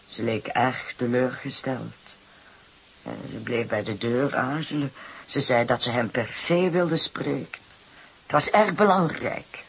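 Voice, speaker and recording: Brief: worn, aggrieved working-class mother for radio drama, very husky and breathy, plaintive, hesitant delivery. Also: mid-range voice, measured pace, exaggerated voice, poor audio. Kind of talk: Dutch radio drama acting.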